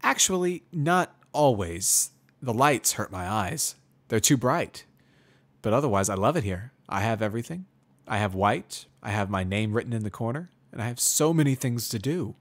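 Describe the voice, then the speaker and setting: A man voicing a withdrawn, obsessive pony prisoner in a storybook reading, slow and terse. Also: medium pitch, lively intonation. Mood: earnest.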